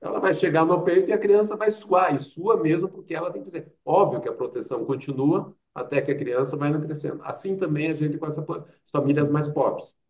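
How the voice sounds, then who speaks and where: A man's voice: on the high side, a little fast, hardly any pauses, darkish tone, recorded from a distance. A man with a Brazilian accent, an interview guest telling anecdotes and explaining his points with rhetorical questions and quoted asides. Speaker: interview guest, doctor and public health expert. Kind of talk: conversational interview, anecdotal storytelling.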